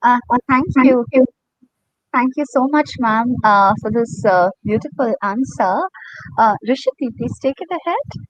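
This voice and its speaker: Lively, high-voiced woman interviewer. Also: unhurried, rough recording. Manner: courteous, attentive. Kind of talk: polite moderated conversation.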